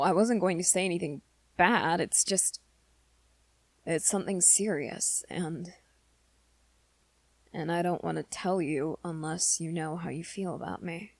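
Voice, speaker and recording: A woman playing an earnest, lovesick young male werewolf in an intimate ASMR roleplay, confiding softly and haltingly in a friend. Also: fairly low pitch, no echo. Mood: flustered, defensive. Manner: hesitant, halting, soft-spoken confession.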